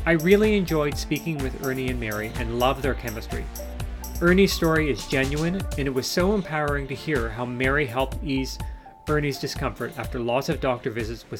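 A middle-aged man reading a scripted introduction as the host of a health podcast. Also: mid-range voice. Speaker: podcast host, patient advocate.

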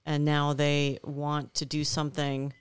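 A woman's voice: sits low, monotone, a little slow, clean tone, no room sound. A woman on the gym staff chatting casually on a podcast, telling a story at length.

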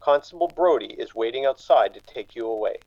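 A man's voice: medium pitch, expressive, fairly quick, vocal fry, darkish tone, lo-fi sound, a little hiss or hum. A man acting in a radio drama as a stern crown attorney, formal and authoritative.

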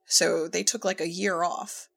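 A woman's voice: on the low side, little pitch movement, unhurried, gravelly, a bit bright, breathy edge. A woman chatting casually as an audio drama creator, telling anecdotes and acting out quoted remarks in an informal way. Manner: informal, quotes herself and others conversationally. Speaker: audio drama writer-director in conversation. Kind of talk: casual chat, anecdotal storytelling.

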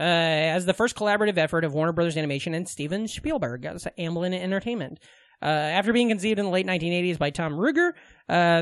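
High-voiced man joking on a podcast. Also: no echo. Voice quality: slight lisp. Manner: crude, jokey, rambling. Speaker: podcast co-host. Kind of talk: casual comedic chat.

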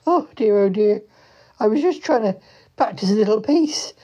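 A woman's voice: a little high, expressive, normal speed, dark tone, muffled recording. Woman playing a worried, loving mum in a comedy audio play. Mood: fretful. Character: fretful, affectionate mother in a comic drama. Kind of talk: comic audio drama.

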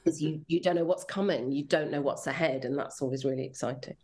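A woman's voice: deep voice, fast talker, a little mellow, breathy edge. A woman from rural Devon with an English accent, chatting reflectively and casually as an interview guest, with a self-deprecating manner. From rural Devon, England. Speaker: interview guest, children's drama commissioning editor.

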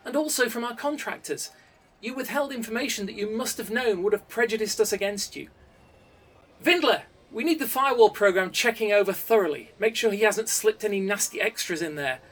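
A man's voice: high voice, lively intonation, brisk, fairly bright tone, pitched above the performer's own voice. A man voicing a cold male crime boss who gives clipped, authoritative orders, recorded a bit distant from the mic. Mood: commanding.

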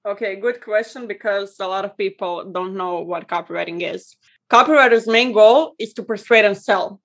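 A woman from Croatia, a guest on a podcast, explains her work in a friendly, steady way. Her voice sits at a middle pitch, and she laughs lightly now and then. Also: normal speed. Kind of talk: interview answers.

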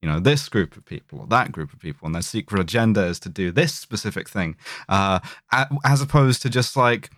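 A man co-hosting a podcast, speaking in a wry, deadpan way with hesitant fillers. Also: on the low side, brisk, dry recording, studio quality. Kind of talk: casual podcast chat.

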